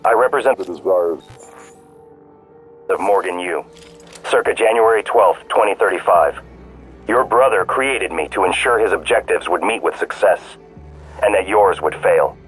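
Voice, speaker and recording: An actor playing a polite but unyielding artificial intelligence in a video game, formal, precise and composed. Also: male voice, sits low, normal speed, long pauses, soft background music, close to an everyday voice, poor audio. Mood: firm composure. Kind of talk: scripted video game acting.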